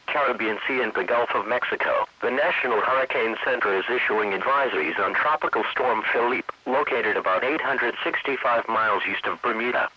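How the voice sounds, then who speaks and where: A man's voice: mid-range voice, breathy edge. An automated male announcer reading scripted forecasts in a formulaic, impersonal synthetic voice. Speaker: automated weather radio announcer. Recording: dull lo-fi weather radio stream.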